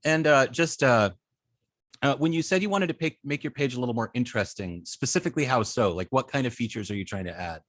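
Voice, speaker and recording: A man's voice: medium pitch, animated, a bit bright, no room sound. Hesitant man teaching, dry close sound. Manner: hesitant, halting, often repeats words. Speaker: web design class instructor. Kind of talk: informal online teaching and student check-ins.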